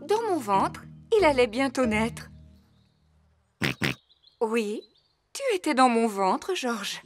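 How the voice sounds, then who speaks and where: A woman voicing a gentle mother pig who tells family stories in a children's cartoon. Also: fairly high pitch, lively intonation, slow, soft background music. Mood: fond, gentle. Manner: gentle, storytelling.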